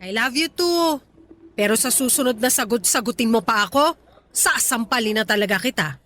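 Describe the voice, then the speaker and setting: A woman's voice: a little high, lively intonation, moderate pace, a bit bright, a little hiss or hum. A Filipino woman playing a hurt, guilt-tripping widowed mother in a radio drama, sulky, dramatic and reproachful. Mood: sulky, relenting.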